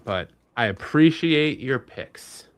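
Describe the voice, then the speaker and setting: Clear-voiced man hosting a wrestling panel. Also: mid-range voice, animated, slow, no echo. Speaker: host of a wrestling panel show. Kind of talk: casual sports fan panel chat.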